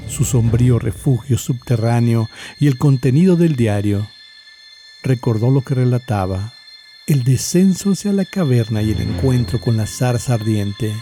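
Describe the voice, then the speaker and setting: A man narrates a scripted horror story at a medium pitch. His delivery is measured and solemn, with dramatic pauses, and music plays clearly behind him. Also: warm tone.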